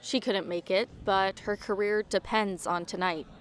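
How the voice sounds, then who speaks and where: A woman's voice: medium pitch, steady tone, a little slow, clean tone, lightly acted. A woman acting a forthright, teasing young professional in an audio drama, with casual, blunt banter.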